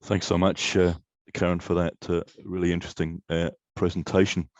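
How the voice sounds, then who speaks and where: A man with a low voice introduces the next speaker at a webinar, pausing on uhs between phrases. The sound is dry and dull, with a lo-fi quality. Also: flat delivery, darkish tone, no echo. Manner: hesitant, with fillers between phrases. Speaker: webinar moderator.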